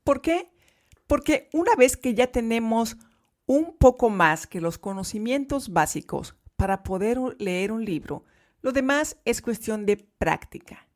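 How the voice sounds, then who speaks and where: A woman teaching Spanish to learners in a podcast lesson, speaking clearly and emphatically. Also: a little high, big pitch swings, unhurried.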